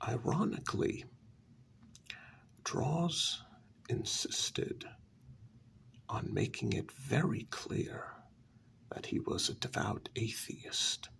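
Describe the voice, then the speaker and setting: A man playing a grave, troubled asylum staffer in a soft-spoken ASMR role-play, telling a dark story in slow, halting phrases with a breathy, gravelly half-whisper. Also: mid-range voice, exaggerated voice. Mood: troubled, hushed. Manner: slow, halting, deliberate short phrases with long pauses.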